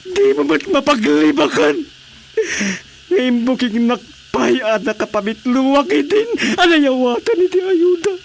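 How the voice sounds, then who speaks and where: Casting: burdened village dad, slow drawn-out pleading, radio comedy drama, slightly noisy track. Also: male voice, very high-pitched, expressive, creaky, heavily acted. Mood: tearful, aggrieved. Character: burdened poor village husband and father, pleading with the chairman.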